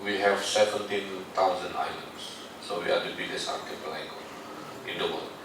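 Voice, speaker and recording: A very low-voiced man with an Indonesian accent talks haltingly in English, stopping often on fillers and restarting his sentences. He stands well back from the microphone in a big, strongly echoing indoor room, and a clear hum of noise sits under his voice. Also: fairly even intonation. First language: Sundanese. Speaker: speaker addressing a foreign delegation. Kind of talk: informal presentation. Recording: far-off echoey room with noise.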